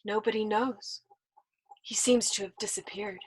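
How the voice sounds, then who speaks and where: A woman voicing an indignant young American airman who shouts his outrage in a breathy voice, as she reads a storybook aloud. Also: medium pitch, fairly even intonation, unhurried, rough recording. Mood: somber.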